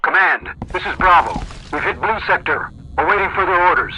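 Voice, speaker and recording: Decisive squad captain, game cutscene, very bright forceful edge, high male pitch, brisk commands. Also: low-quality recording. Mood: tense, resolute. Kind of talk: video game cutscene acting.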